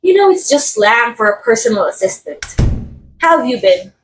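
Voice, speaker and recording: A woman's voice: fairly high pitch, big pitch swings, moderate pace, music in the background. A woman acting as a haughty, catty film actress in an audio roleplay, with a theatrical, sardonic and condescending delivery. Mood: breezy, teasing. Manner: theatrical, sardonic, drawling some words for emphasis. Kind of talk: ASMR roleplay monologue, voice acting.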